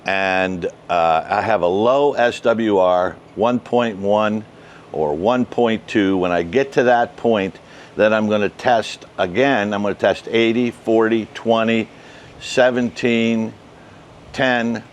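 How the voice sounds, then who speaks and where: A man's voice: fairly low pitch, takes it slowly, a little hiss or hum. An older man explaining things casually and step by step to camera, outdoors on a lapel mic. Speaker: ham radio vlog host. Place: outdoors in a suburban backyard by patio and shed. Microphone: wireless lapel mic clipped to shirt.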